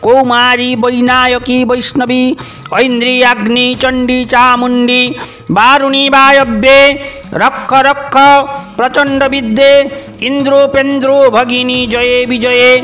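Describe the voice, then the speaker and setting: Male devotional reciter, high bright voice, measured incantatory phrasing, music underneath. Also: level tone. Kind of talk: Sanskrit stotram chanting. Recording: music behind the voice.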